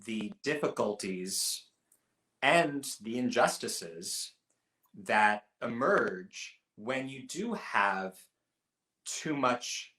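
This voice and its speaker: A man co-hosting a film podcast, talking things through in a thoughtful, measured way. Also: mid-range voice, lively intonation, sharp tone. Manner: thoughtful, measured, with frequent restarts. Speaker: podcast co-host. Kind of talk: casual film review chat. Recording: roomy echo.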